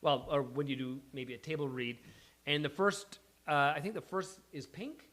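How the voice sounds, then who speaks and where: A man's voice: fairly high pitch, expressive, moderate pace, a bit bright. A man hosting a live stage event with casual, chatty banter, explaining things in a loose, rambling way. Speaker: host of a live table-read show. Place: small live venue, on stage.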